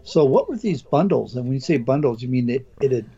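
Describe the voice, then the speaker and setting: A man's voice: mid-range voice, lively intonation, fairly quick, dark tone, husky. A man hosting a casual conversation, asking questions while losing his voice. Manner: inquisitive, conversational. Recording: dull lo-fi sound.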